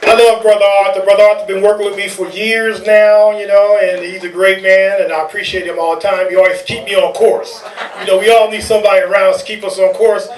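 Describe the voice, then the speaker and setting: A man hosting a live stage event, addressing the audience in a loud, exclamatory, rallying manner. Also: high voice, flat delivery, fairly quick, background noise. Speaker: event host. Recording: echoey, distant live event with crowd.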